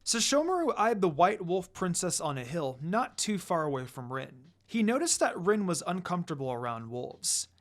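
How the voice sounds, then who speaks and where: A man reading fan fiction aloud in an expressive storytelling style. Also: high voice, normal speed, hardly any pauses.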